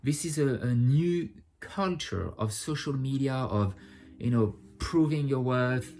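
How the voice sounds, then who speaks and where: A man's voice: mid-range voice, animated, measured pace, a little mellow. A man talking straight to his audience in an unscripted personal monologue, blunt and profane, building to impassioned outbursts. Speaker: vlogger sharing personal insights.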